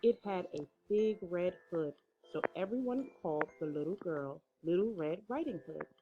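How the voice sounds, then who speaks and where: Animated woman reading a children's story. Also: fairly low pitch. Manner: expressive, measured. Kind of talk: scripted children's story narration. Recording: slightly dull audio, music behind.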